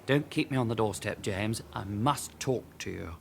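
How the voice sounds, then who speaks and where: Low, creaky gentleman crook. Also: normal speed, a little hiss or hum. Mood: urgent, wary. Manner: polite but insistent. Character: furtive, urgent gentleman crook seeking help. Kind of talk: radio drama acting.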